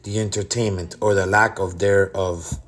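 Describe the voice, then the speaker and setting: A man with a somewhat low voice narrates a review voice-over. He sounds blunt and keeps listing his complaints. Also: fairly even intonation, normal speed. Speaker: vlogger reviewing a cruise.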